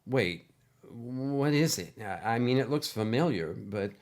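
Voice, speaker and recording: A man voicing a polite, hesitant man in his thirties, halting and apologetic, in a story. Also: medium pitch, expressive. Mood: puzzled.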